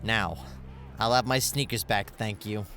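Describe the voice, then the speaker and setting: A man playing a hot-headed, brash and excitable teenage boy brawler in an anime-style audio drama. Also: on the low side, measured pace, lightly acted. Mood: fired up, defiant.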